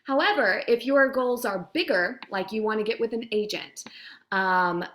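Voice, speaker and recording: A woman's voice: mid-range voice, moderate pace, fairly bright tone. Woman casually explaining, giving advice. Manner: explains with everyday analogies. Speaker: guest voice demo producer. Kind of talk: conversational advice in a Q&A.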